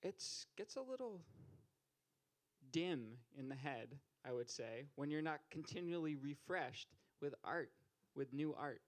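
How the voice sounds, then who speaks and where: A man's voice: fairly high pitch, lively intonation, a little slow. Joking open mic host, man. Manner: joking, teasing, rising to loud calls to the stage. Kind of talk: stand-up style hosting banter. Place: small poetry venue stage with audience. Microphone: handheld mic.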